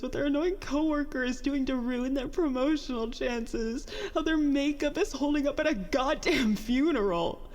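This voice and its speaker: An actress playing a bitter, self-doubting young petty officer in a halting, confessional monologue for a science fiction audio drama. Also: female voice, high-pitched, a little mellow, long reverb tail, natural voice. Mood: bitter, hurt. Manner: halting, conversational, self-deprecating, mildly profane.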